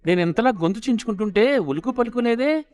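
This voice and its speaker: A man plays a melodramatic wife in a family comedy story. She is bossy and excitable, pitched well up high, and nags theatrically. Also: brisk. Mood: scolding. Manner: nagging, wailing theatrically.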